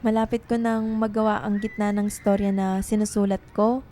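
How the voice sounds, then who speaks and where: An actress playing a tender, anxious young woman writer in a radio drama, soft and earnest in manner. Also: mid-range voice, flat delivery, normal speed, slight background noise, lightly acted. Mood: affectionate.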